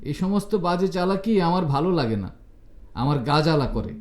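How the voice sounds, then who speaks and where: A man playing a high-pitched, teasing young woman. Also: animated, darkish tone, echoey room, close to an everyday voice. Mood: mock scolding. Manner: bantering, mock-scolding, rapid-fire reproaches. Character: teasing, cheerful, scolding young Bengali woman cousin.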